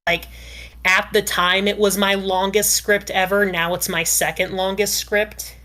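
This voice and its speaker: A woman's voice: mid-range voice, level tone, unhurried, sharp tone. A woman talking casually as a writer on a podcast panel, explaining things in a careful, reflective way.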